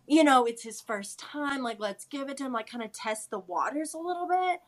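A woman's voice: sits high, lively intonation, normal speed. A woman co-hosting a podcast, recapping in a casual, slangy, emphatic way. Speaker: podcast co-host.

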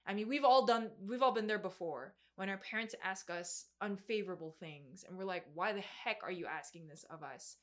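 A woman's voice: medium pitch, normal speed, few pauses, a bit bright. A woman in her mid-twenties preaching a sermon in a conversational, informal and emphatic way, with youthful slang. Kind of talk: sermon to a group, conversational Bible exposition.

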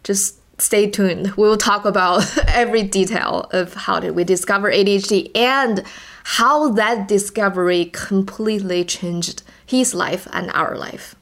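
A woman's voice: mid-range voice, unhurried, a bit bright. Woman, Chinese accent, non-native English speaker, podcast co-host, casual chat, repeats and restarts words. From China.

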